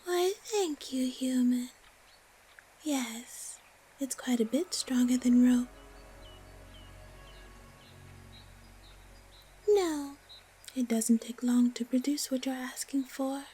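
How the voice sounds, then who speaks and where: Female actor as suspicious spider monster woman, very slow delivery, soft dark tone, whispery, disdainful, fantasy audio drama. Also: high-pitched, slight background noise. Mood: intrigued.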